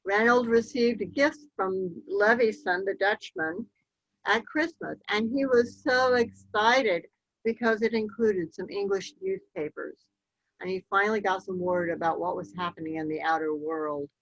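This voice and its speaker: A woman giving a slide-show history talk, telling the story in a measured way with occasional ums. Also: mid-range voice, fairly bright tone, no echo. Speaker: local history speaker. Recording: dull, lo-fi dry recording.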